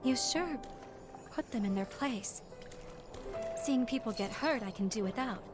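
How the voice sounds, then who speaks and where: A young healer in a game cutscene, with music playing behind the voice. The woman is earnest and caring, articulate and gentle, with an old grudge underneath. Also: a little high, animated, measured pace. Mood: relieved, gentle. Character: caring young female healer companion with a bitter family grudge. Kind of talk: video game cutscene voice acting.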